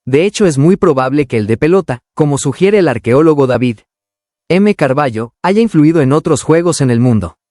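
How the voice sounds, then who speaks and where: Educational audiobook reader, male, slightly raised pitch, scripted informative read, no room sound. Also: a little mellow, no echo, studio quality. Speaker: audiobook narrator.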